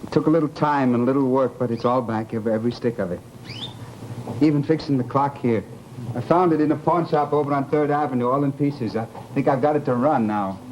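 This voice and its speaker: A man's voice: medium pitch, quick pace, a little mellow, natural voice. An actor playing a polite, secretive, obsessive American man in an old-time radio horror drama, speaking evasively and hesitantly. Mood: quietly satisfied. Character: polite, secretive, obsessive man newly married, Mister Holloway. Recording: muffled, noisy old radio recording.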